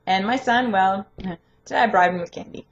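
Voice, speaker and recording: A young woman with a lingering hoarse voice, chatting casually and rambling in a home vlog, laughing often. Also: medium pitch, brisk, lo-fi sound. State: hoarse, voice not fully recovered. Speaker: vlogger and home daycare provider. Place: her home, indoors.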